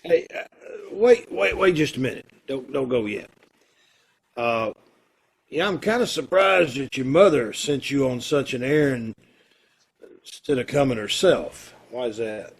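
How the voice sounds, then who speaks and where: A man acting a kindly, earnest condemned prisoner in an old-time radio play, with hesitant, folksy phrasing and a gravelly, husky voice. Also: mid-range voice, lively intonation, exaggerated voice. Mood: hesitant, gentle. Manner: hesitant, halting, folksy and informal.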